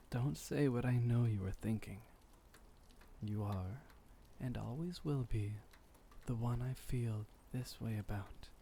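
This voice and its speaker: A man playing a gentle, refined, centuries-old vampire boyfriend in intimate ASMR roleplay, soft-spoken and soothing with formal wording. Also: medium pitch, vocal fry, a little hiss or hum. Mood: tenderly teasing.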